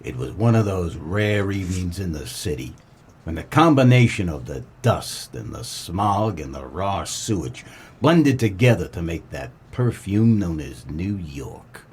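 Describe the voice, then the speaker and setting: An American man playing a world-weary, wisecracking 1940s private eye in a comedic noir radio play, with deadpan melodrama. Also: low-pitched, unhurried, husky, voice pushed down, heavily acted. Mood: brooding, wry. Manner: deadpan, melodramatic tough-guy delivery.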